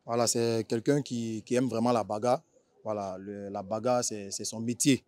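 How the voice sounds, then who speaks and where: A man's voice: lowish voice, fairly even intonation, moderate pace, darkish tone, no room sound. A man with an Ivorian accent hosting an informal interview, chatty, using street slang and often rephrasing his questions. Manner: chatty, repeats filler tags and rephrases questions.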